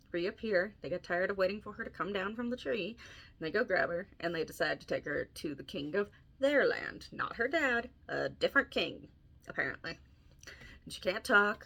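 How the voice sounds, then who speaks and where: A woman's voice: on the low side, a bit bright. A woman hosting a podcast casually retells a fairy tale in an informal way, adding wry asides as she goes. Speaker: podcast host and author.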